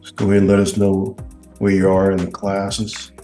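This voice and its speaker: Deep-voiced male call host. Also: completely flat delivery, normal speed, warm tone, roomy sound, soft background music. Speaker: host taking members' questions. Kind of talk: casual group Q&A call. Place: online group call.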